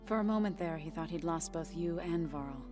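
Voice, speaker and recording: A proud warrior woman, played by an actress, firm and dry in her measured delivery. Her voice rests a little low, with faint game music underneath. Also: close to an everyday voice. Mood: steady.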